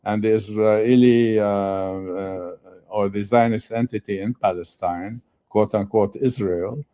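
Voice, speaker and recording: An older man from Lebanon, a retired professor speaking as a conference guest, talking slowly with many halting fillers. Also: fairly low pitch, steady tone, dark tone. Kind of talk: conference interview.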